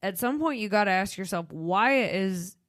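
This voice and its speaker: A woman's voice: mid-range voice, expressive, normal speed, no echo, studio quality. A woman in her thirties with a Chicago accent, co-hosting a podcast in casual, candid conversation. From Midwestern United States. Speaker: podcast co-host.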